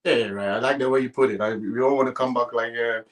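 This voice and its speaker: A man interviewing conversationally about sport, with a hesitant, halting way of working up to each question. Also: medium pitch, fairly even intonation.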